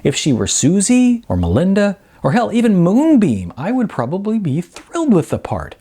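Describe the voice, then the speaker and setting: A male narrator voicing a wry, hurt young actress, slightly raised in pitch, with a husky voice. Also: huge pitch swings, moderate pace, warm tone, exaggerated voice. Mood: exasperated. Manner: exasperated, sarcastic.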